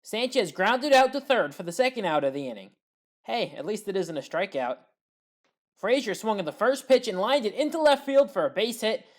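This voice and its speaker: A woman recapping baseball highlights in a voice-over as a home-team fan, reading steady play-by-play with wry asides. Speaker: sports highlights commentator.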